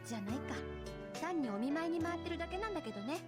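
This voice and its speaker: A bubbly young woman in an anime visual novel, high-pitched and talking very fast. She is carefree and playful, like a breezy big sister, with loud music behind her. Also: animated. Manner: playful, breezy, casual speech. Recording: game dialogue over loud music.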